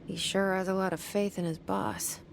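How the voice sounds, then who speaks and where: An actress voicing a game heroine's private, knowing inner monologue in a hushed, creaky voice. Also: female voice, mid-range voice, level tone, normal speed, a little hiss or hum, natural voice. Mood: musing.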